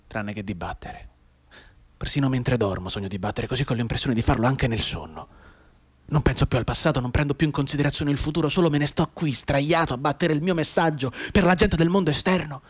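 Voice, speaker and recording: An actor playing a mute, bedridden wounded man in an intense radio monologue, going between hushed brooding and anguished urgency. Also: mid-range voice, slightly rough, close to an everyday voice. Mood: weary, resigned. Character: mute, bedridden wounded man desperately tapping out Morse code.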